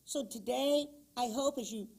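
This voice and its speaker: Lady reading a tall tale to kids, kinda high voice, soft and husky. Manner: slow, expressive storybook reading. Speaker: children's storyteller. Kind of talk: reading a folk tale aloud to children.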